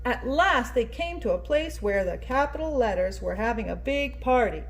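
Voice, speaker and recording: A woman's voice: a little high, animated, normal speed, slight background noise, rough recording. A woman reading a picture book aloud expressively and prompting young listeners with questions in a teacherly way. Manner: teacherly, addressing small children, prompting them with questions. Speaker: children's read-aloud storyteller.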